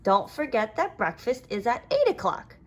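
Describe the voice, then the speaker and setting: A woman's voice: fairly high pitch, wildly swooping pitch, normal speed. A woman voicing a loving, nagging storybook mother who calls out in an exclaiming, sing-song way. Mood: bright, calling. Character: Ted's loving, nagging storybook mom calling out.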